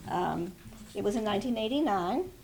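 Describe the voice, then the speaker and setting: A woman's voice: medium pitch, lively intonation, moderate pace, gravelly, a little mellow, slight background noise, poor audio. A woman speaking at a memorial, reading a tribute aloud and adding informal personal asides.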